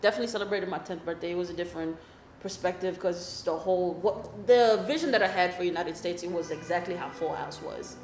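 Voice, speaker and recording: A young woman from Kenya raised in Kansas City, chatting casually as a guest, relaxed with lots of fillers. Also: on the low side, fast talker, roomy sound, slight background noise. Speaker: photographer guest. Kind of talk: casual interview chat.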